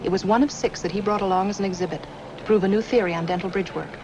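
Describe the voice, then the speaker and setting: A woman's voice: mid-range voice, fairly even intonation, racing, natural voice. A woman acting as an anxious, earnest dental nurse in a 1950s film noir, speaking plainly and defensively. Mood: earnest, recalling. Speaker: actress in a film. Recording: noisy old film soundtrack.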